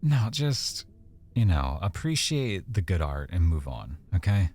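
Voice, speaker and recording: A man playing an affectionate, teasing, easily embarrassed boyfriend in an intimate, conversational ASMR roleplay, speaking softly and a little hesitantly. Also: low voice, slight background noise. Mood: embarrassed, reluctant.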